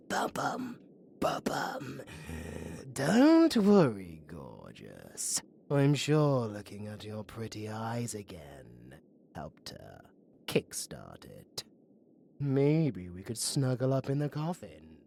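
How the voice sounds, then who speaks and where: A man's voice: mid-range voice, vocal fry, slight background noise, full character voice. A British man playing a flirty, sardonic undead necromancer villain in a theatrical audio roleplay. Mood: flirty, teasing. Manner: theatrical, swearing, swinging between hushed murmurs and outbursts.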